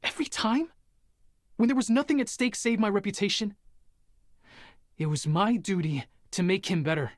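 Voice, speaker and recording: An actor playing an earnest, driven young Spartan soldier burdened by guilt in a dramatic video game. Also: male voice, high voice, normal speed, pauses often, no echo, natural voice. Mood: guilt-ridden.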